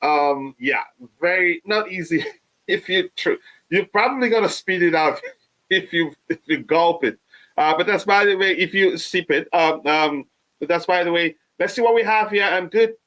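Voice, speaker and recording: A man, hosting a live fan stream and reading viewer comments, halting with many fillers, his voice still touched by a cold. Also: sits high, a bit bright, poor audio. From Nigeria. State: recovering from a cold. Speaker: fan channel host.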